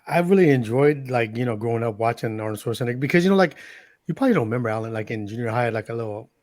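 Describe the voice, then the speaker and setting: A man's voice: medium pitch, no room sound. A man co-hosting a casual podcast, talking loosely and easygoing with many fillers. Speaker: podcast co-host.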